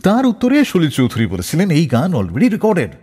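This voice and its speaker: A man telling scripted stories as a radio show host, in a dramatic, expressive way. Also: on the high side, moderate pace, breathy edge, big-room sound.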